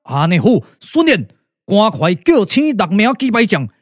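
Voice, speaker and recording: A man with a Taiwanese accent voicing a wise, commanding older strategist in a measured, theatrical puppet radio drama. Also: sits high, very animated, studio quality. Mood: calm, commanding. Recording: echoey reverb with music behind.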